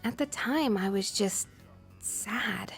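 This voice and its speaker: A woman voicing a friendly, earnest, slightly shy teenage schoolgirl in a video game, soft-hearted and reflective. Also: medium pitch, slow pace. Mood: wistful. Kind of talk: English video game voice acting. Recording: background music under the voice.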